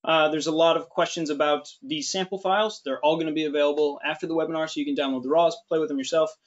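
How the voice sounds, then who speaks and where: Relaxed 30s male host, slightly high voice; dull studio sound. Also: quick pace. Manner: relaxed, joking, lots of fillers. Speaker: webinar host. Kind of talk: webinar discussion. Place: studio with large screen.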